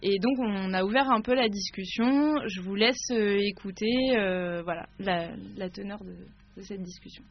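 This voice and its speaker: A woman radio reporter presenting her piece and gently questioning children in casual talk. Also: medium pitch, moderate pace, hardly any pauses. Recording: dull lo-fi sound, faint noise.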